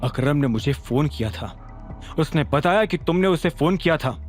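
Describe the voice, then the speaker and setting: A man's voice: fairly high pitch, expressive, moderate pace, natural voice. A man voicing a menacing, commanding gang boss in an audio drama, taunting and self-assured, with weight in the voice. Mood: cold. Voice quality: heavy voice. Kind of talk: audio drama character acting. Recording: music behind.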